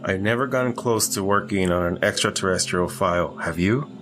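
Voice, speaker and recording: A man's voice: low voice, fairly quick, music in the background, lightly acted. A man playing a grudging, irritable secret agent in an audio drama, dry and exasperated with his ex-lover. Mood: wary, practical.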